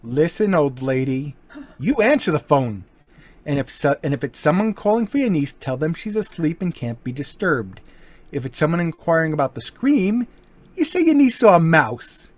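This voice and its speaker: An actor in an amateur old-time radio play, voicing a smooth, mocking gentleman burglar with a lively, swinging delivery. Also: fairly high pitch, normal speed. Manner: smooth, mocking, turns menacing. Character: suave, cocky male gentleman burglar.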